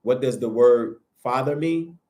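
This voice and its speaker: A man hosting a livestream, preaching in a casual, animated way and answering viewer comments as he goes, with a sniffly nose. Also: mid-range voice, a little slow. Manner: animated, preachy, rises sharply when emphatic. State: sniffling from sinuses. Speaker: livestream host.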